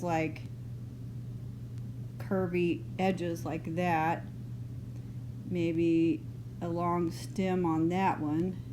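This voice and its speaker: A woman with a soft Texas accent, smoothed by years up north, walks students through a drawing. She speaks slowly and loosely, a little low in pitch. Steady hiss and noise sit under the recording. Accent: mild Texas, partly northern. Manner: relaxed, unhurried, loose and chatty. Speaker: art teacher. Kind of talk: drawing lesson, thinking aloud. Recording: noisy home recording.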